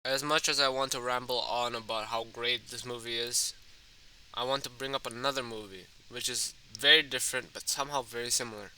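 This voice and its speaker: A man's voice: mid-range voice, steady tone, brisk, background noise. A man narrating a scripted film analysis as a video essayist, addressing the viewer in a conversational way.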